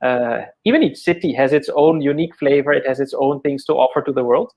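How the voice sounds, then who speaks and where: A middle-aged Dutch-born man who speaks English with an Indian accent, explaining yoga as an interview guest in a measured, gentle way with many fillers and repeated words. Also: medium pitch. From Netherlands. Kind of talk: thoughtful conversational explanation.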